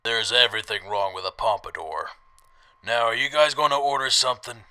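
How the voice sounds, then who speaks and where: A man's voice: mid-range voice, a little fast, pushed and bright, smooth, low-quality recording. A man playing a no-nonsense food-stall cook in a wasteland audio drama, blunt and matter-of-fact. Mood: annoyed.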